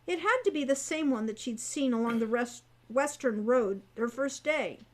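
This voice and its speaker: High-voiced woman narrating a storybook. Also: expressive, normal speed. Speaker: author reading her own audiobook.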